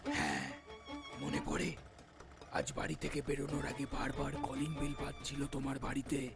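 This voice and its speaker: A man voicing the solemn, protective ghost of a young man in a horror cartoon, speaking in an ominous, deliberate way. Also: mid-range voice, animated, vocal fry, music in the background. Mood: grave warning. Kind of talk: Bengali horror cartoon voice acting.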